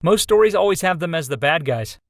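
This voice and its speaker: A man's voice: on the high side, animated, brisk, creaky, fairly bright tone, no echo, clean studio sound. A man playing an earnest, loyal young college student in a visual novel, in a plain-spoken way. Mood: matter-of-fact. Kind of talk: visual novel character dialogue.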